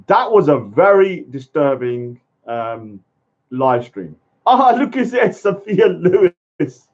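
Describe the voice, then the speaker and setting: A man rants in a blunt, combative way, his pitch leaping up and down as he pushes each point. His voice sits fairly high for a man. The recording sounds dull and lo-fi. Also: very animated, slow pace. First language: English. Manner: blunt, combative, repeats "yeah?" and "let me say something". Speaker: speaker's corner vlogger. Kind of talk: ranting monologue.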